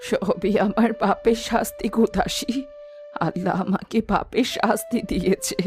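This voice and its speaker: A woman's voice: mid-range voice, steady tone, moderate pace, a little mellow, soft background music, lightly acted. A woman voicing a wretched, guilt-ridden midwife, lamenting melodramatically in a fairy-tale cartoon. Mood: remorseful lament.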